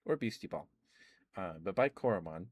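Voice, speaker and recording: A man rambling casually about video games in a soft-spoken ASMR stream, full of ums and uhs. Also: low voice, lively intonation, moderate pace, no room sound.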